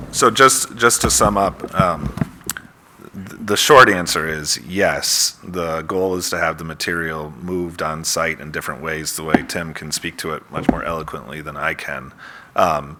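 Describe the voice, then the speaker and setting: A man representing an applicant at a public hearing, summarizing points in a measured, lawyerly way. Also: extremely low pitch, fairly even intonation, noisy background. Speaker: applicant's attorney. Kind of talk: formal advocacy at a public hearing. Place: town hall meeting room.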